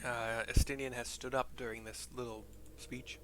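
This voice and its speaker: A man streaming a game, describing the scenes on screen in an informal, halting, descriptive play-by-play. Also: mid-range voice, level tone, crowd noise. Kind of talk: casual play-by-play describing cutscene visuals. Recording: clear game noise behind voice.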